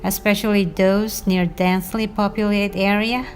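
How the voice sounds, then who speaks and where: A woman's voice: medium pitch, fairly even intonation, normal speed, faint music behind. Woman with many hesitations. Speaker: interviewee.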